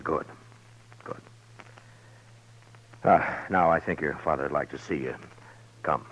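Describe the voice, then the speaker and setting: An American man acting a gruff but humane, plain-spoken frontier marshal in a 1950s radio western. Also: very deep voice, slow pace, fairly bright tone, heavily acted. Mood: earnest, gentle. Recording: dull vintage 1950s radio recording.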